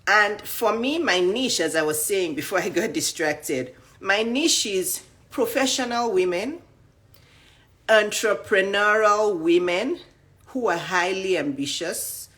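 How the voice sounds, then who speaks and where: A woman's voice: mid-range voice, expressive, slow pace, fairly bright tone. A woman, a coach talking bluntly and emphatically to the camera, rattling off lists of questions. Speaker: dating and life coach. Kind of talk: direct-to-camera coaching talk.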